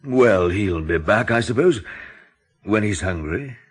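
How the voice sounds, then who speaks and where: A sceptical country doctor in a radio drama. The voice is low and husky, with lively pitch movement. He is sober, dry and troubled. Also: unhurried. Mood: troubled, questioning.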